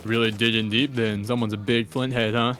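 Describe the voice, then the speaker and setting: A man's voice: mid-range voice, little pitch movement, fairly quick, a bit bright. A man bantering casually with friends in a joking, slangy way. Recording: music playing behind the voice. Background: music.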